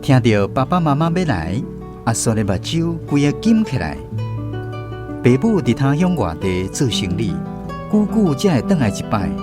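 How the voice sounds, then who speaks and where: Lively Taiwanese man narrating over guitar music. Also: medium pitch, normal speed. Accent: Taiwanese Hokkien. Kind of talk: scripted storybook radio drama narration.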